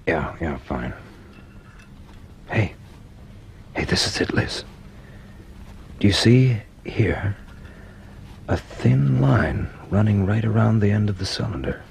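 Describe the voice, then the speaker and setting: A man playing a keen, restless young student in a radio drama, talking informally in excitable, slightly hesitant bursts. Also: on the low side, slow, creaky, pauses often, exaggerated voice. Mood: eager, excited. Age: young adult. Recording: faintly noisy radio drama recording.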